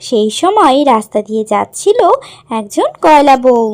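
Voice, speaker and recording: A woman storyteller with a high, animated voice. She narrates a children's tale from a script, speaking straight to her young listeners. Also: moderate pace. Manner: addresses young children directly.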